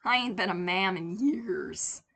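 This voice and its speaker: A woman's voice: lowish voice, unhurried, gravelly, a bit bright, breathy edge, exaggerated voice. A woman voicing a kindly, blunt older lady with a colloquial, teasing way of talking. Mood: fond, teasing.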